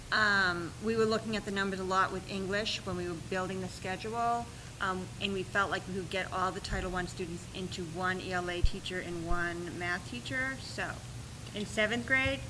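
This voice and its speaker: A soft-spoken woman, a school principal, explaining schedules and budget requests at a meeting, with frequent pauses and ums. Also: medium pitch, background noise. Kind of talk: presenting at a school board meeting.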